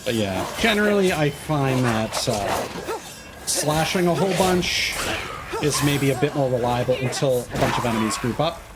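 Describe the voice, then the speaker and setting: A man's voice: medium pitch, expressive, moderate pace, over loud music. A man streaming a video game, giving casual live commentary and thinking aloud as he plays.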